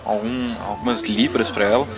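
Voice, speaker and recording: A man's voice: fairly low pitch, flat delivery, moderate pace. A man narrating a tabletop roleplaying game as game master, with music behind him. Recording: clear music bed behind.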